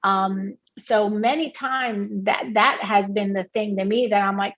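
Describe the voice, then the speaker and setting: A woman's voice: mid-range voice, fairly even intonation, a little slow, a bit bright, muffled recording. A woman from Puerto Rico, a guest in an interview, talking earnestly with many restarts and fillers. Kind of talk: candid interview conversation.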